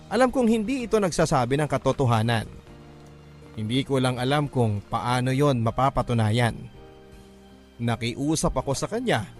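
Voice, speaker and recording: A man with a Filipino accent narrating a first-person drama story in an emotive, measured, classic radio style. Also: mid-range voice. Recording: music under the voice. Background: background music.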